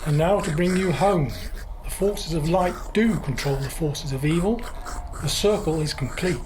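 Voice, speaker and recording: An actor playing a resolute, self-sacrificing young hero in a radio drama, with a solemn, defiant delivery. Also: male voice, on the high side, moderate pace, background noise, close to an everyday voice.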